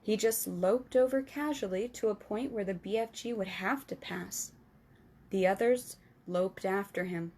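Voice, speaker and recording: Woman storytime host reading a children's book aloud, calm and clear. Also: medium pitch, a little slow. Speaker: storytime reader.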